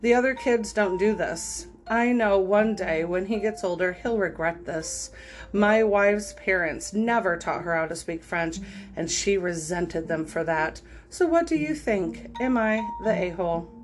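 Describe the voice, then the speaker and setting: A woman's voice: mid-range voice, measured pace, soft background music. A woman hosting a podcast, reading online posts aloud cold and then giving her frank take on them. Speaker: podcast host.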